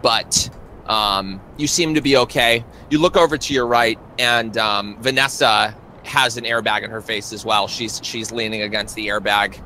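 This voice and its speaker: A man narrating a tabletop roleplay as game master, describing scenes in the second person in a halting way. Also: lowish voice, fairly even intonation, pushed and bright, a little hiss or hum, rough recording.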